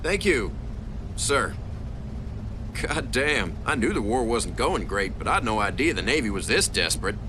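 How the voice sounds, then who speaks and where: A brash cocky fighter pilot. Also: male voice, mid-range voice, sing-song, a little slow, noisy background. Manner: brash, swearing.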